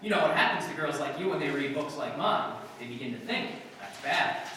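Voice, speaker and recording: A man's voice: on the high side, expressive, fairly quick, pushed and bright. Man acting a wry, cynical reporter, very echoey hall. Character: wry, cynical tough-talking male writer-reporter. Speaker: actor in a live radio play. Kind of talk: old-time radio comedy acting. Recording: very echoey hall, distant mic, faint hiss.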